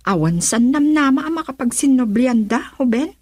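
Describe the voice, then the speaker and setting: Animated, husky woman in radio drama. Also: high voice, normal speed, no echo, studio quality. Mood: opinionated. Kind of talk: Ilocano radio drama acting.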